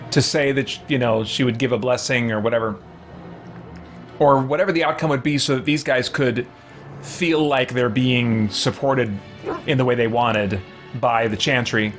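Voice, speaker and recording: A man gives reflective commentary as host of a gaming series. His voice is medium in pitch and conversational, thinking things through aloud with music softly behind him.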